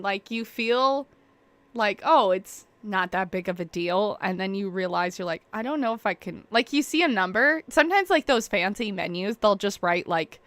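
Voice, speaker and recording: Young woman streamer, bright, crisp voice, dry close sound, rambling self-deprecating chatter. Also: fairly high pitch, no room sound. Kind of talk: casual chat while waiting on a stream.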